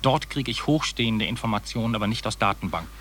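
A man's voice: lowish voice, lively intonation, fast, a bit bright. A man speaking as an academic expert in a radio interview, articulate, emphatic and critical. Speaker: academic researcher, interview guest. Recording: noisy digitized 1980s cassette.

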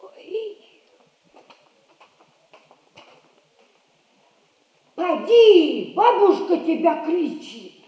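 An actress playing a soft-hearted, fussing old servant nanny in a stage comedy, with sighing, homely folk speech. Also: female voice, very high voice, sing-song, takes it slowly, exaggerated voice. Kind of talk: Russian stage comedy recorded as radio play.

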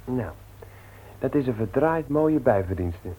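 An actor playing a smug, self-satisfied young schemer with a colloquial way of talking, in a 1960s radio play. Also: male voice, mid-range voice, lively intonation, a little slow, mellow, husky, rough recording. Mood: smug, secretive. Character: sly, self-satisfied young male small-time schemer.

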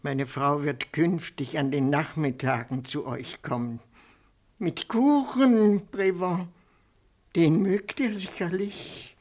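A man's voice: a little high, a little mellow. An actor playing a fussy, pedantic male government minister, speaking primly in a satirical radio drama. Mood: fussy, insistent. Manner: prim, unhurried, pedantic.

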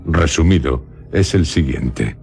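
A man presenting scripted radio commentary in measured, literary phrasing. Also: very deep voice, gravelly, a little mellow, a little hiss or hum. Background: music behind the voice.

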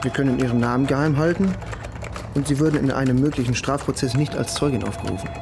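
Actor as a fast-talking police detective. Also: male voice, medium pitch, vocal fry, over music, natural voice. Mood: official, probing. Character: matter-of-fact male police detective questioning an informant. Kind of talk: radio crime drama acting.

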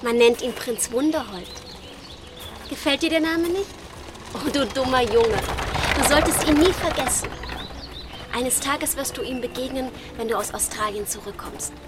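An actress playing a dreamy, lovestruck young sister with a gently teasing manner in a radio play. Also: female voice, high-pitched, animated, moderate pace, very noisy. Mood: dreamy, adoring. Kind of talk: German radio play acting.

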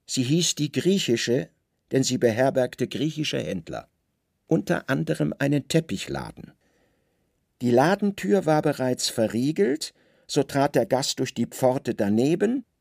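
Gravelly man narrating a literary drama. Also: medium pitch, a little mellow, no room sound, clean studio sound. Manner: dramatic, deliberate storytelling in short phrases. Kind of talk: literary radio play narration.

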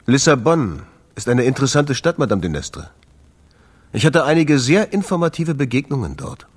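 An actor playing an edgy jazz club owner under pressure, terse, nervous and informal in a radio drama. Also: male voice, medium pitch, very animated, normal speed, slightly rough. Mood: guarded, wry.

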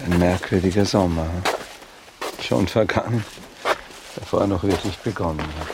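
A man's voice: sits low, measured pace, very noisy. A man acting in a radio play as a reserved, world-weary baron, courteous and formal in his speech. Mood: melancholy. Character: reserved, melancholy aristocratic baron, cool and courteous. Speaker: radio drama actor.

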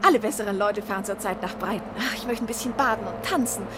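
A woman's voice: mid-range voice, quick pace, close to an everyday voice. A woman playing a carefree, pleasure-seeking society lady in a 1950s radio play, gushing and frivolous. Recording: noisy recording with vehicle sounds.

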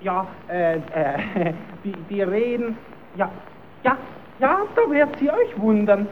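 Casting: cheeky puppet clown, stammering tale-spinner with very lively pitch swings, thin hissy old recording. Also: slow pace. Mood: eager. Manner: stammering, repeating himself, improvising tall tales. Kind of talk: German radio play acting for children. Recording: tinny, narrowband, hissy old radio recording.

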